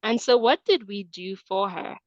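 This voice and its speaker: A woman's voice: mid-range voice, moderate pace, no room sound. Woman from Cameroon on dull audio. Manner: peppers points with tag question "right?". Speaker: webinar presenter and admissions coach. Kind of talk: presenting a webinar talk.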